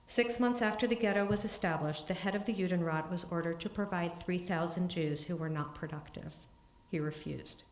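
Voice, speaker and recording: A woman giving an earnest, steady talk in a synagogue, then answering questions, with small restarts. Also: on the low side. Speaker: congregant giving a family history talk. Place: synagogue sanctuary. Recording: echoey room.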